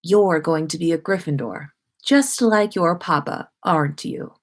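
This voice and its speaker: A woman's voice: deep voice, measured pace. A woman voicing a playful, doting young wizard father in a story read aloud. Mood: playful teasing.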